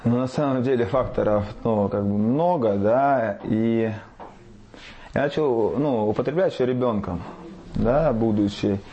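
A man's voice: mid-range voice, a little slow, lo-fi sound, slight background noise. A man in his thirties giving a hesitant interview answer, full of fillers. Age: 30s. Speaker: interviewee in rehab.

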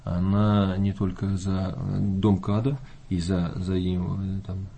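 Low gravelly priest, unhurried folksy talk. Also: male voice, little pitch movement, mellow, a little hiss or hum. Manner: unhurried, folksy, many fillers and hesitations. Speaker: Orthodox priest. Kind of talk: calm talk show conversation.

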